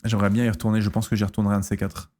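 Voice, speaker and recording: A man in his thirties from France talks casually on his stream, answering viewers' questions. His voice sits a little low, and he halts often, restarting sentences and filling gaps with hesitations. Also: level tone, very fast, darkish tone. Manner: hesitant, lots of fillers and restarts.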